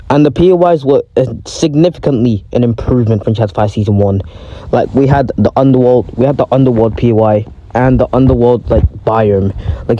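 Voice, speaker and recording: A young man who is ill and sounds deeper than usual, talking casually and excitedly about a video game, often correcting himself. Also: a little hiss or hum, rough recording. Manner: rambling, excitable, self-correcting. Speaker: gaming video creator. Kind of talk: casual gaming commentary.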